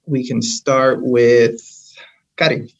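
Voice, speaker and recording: Dude moderating a panel, chill and affirming, kinda muffled audio. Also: male voice, medium pitch, level tone, slow, vocal fry. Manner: casual, affirming. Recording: dull lo-fi sound.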